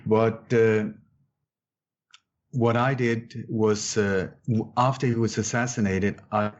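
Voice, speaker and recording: A man's voice: lowish voice, flat delivery, slow, slight background noise. A man in his sixties who grew up in Sweden, answering interview questions emphatically, repeating words and often restarting his sentences. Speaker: guest researcher. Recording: muffled, dull-sounding audio.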